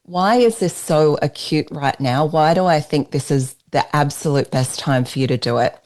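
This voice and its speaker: An Australian woman leading a business workshop, giving an emphatic, persuasive pitch while presenting slides. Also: normal speed, darkish tone.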